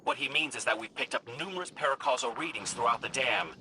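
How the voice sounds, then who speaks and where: An actor voicing a small, caring robot companion in a video game, eager and precise in manner. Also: male voice, medium pitch, quick pace, bright, forceful tone, a little hiss or hum, poor audio. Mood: helpful, earnest. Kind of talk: cinematic video game dialogue.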